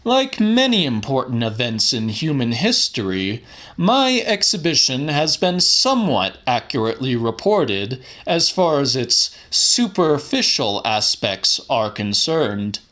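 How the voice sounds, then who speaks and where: A man playing a pompous, grandiose scientist-showman lecturing a theater audience in a formal, theatrical, deliberate way, as in a dramatic audiobook. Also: fairly high pitch, a bit bright, heavily acted. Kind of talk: dramatic audiobook reading of a monologue.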